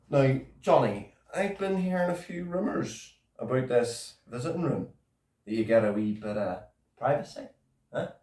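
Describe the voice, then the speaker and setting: A man's voice: mid-range voice, a little mellow, echoey room, distant mic, close to an everyday voice. An actor playing a cocky young male prisoner who swears, laughs and pleads. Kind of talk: dramatic monologue.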